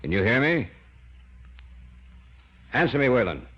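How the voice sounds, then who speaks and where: A man's voice: mid-range voice, very animated, measured pace, husky. An actor playing an obsessed, stubborn scientist father in an old-time radio horror drama, with a theatrical, emphatic delivery. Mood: urgent, alarmed. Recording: dull, hissy vintage radio recording.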